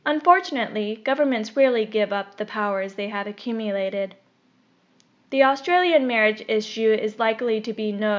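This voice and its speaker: Woman narrating an article, measured n formal, mid pitch, kinda muffled lo-fi recording. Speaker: narrator reading an opinion article. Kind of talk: scripted narration. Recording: dull, lo-fi recording.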